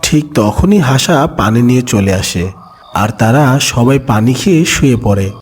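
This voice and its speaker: A man's voice: mid-range voice, expressive, a little slow, husky. Male storyteller over music. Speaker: narrator. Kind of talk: scripted children's story narration.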